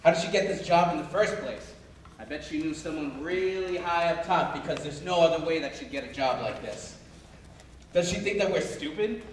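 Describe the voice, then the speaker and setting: Indignant veteran prison guard, male played by a man, high voice, musical theatre, distant and very echoey. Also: normal speed, slight background noise, lightly acted. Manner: complaining. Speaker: stage musical performer. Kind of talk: musical theatre dialogue and singing. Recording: very echoey distant live staged reading.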